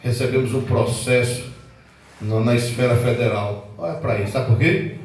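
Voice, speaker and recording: A Brazilian pastor in his forties preaching a sermon with fervor, swinging from loud outbursts to low, earnest tones. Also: moderate pace, a little hiss or hum. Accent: Brazilian Portuguese. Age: 40s. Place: church hall. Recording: echoey hall, mic at a distance.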